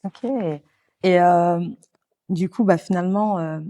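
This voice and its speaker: Female interviewer, slightly low register, warm and dark tone, casual, hesitant phrasing. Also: steady tone, takes it slowly. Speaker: podcast host, interviewer.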